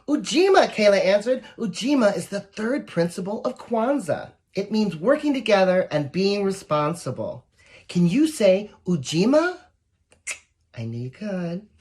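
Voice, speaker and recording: A woman reading a picture book aloud to young children, expressive and encouraging, with asides to the listeners. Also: medium pitch, a little slow, slightly rough. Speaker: volunteer story reader.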